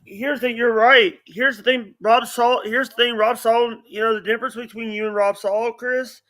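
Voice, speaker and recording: A man chatting casually as a livestream guest, halting, often repeating phrases and starting over. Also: extremely high pitch, steady tone, fairly bright tone, no room sound. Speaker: podcast guest.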